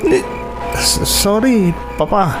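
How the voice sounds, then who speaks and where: Apologetic young Ilocano son, highish. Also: male voice, animated, slow pace. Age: young adult. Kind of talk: Ilocano radio drama acting. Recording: radio drama with music and insects.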